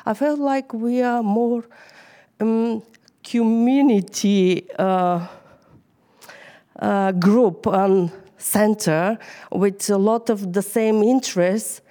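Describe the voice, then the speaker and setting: A woman's voice: medium pitch, fairly even intonation, slow pace, dark tone. A woman with a Bulgarian accent, whose first language is Bulgarian, giving an informal, hesitant talk to a church group. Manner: hesitant, many fillers. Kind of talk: informal sermon.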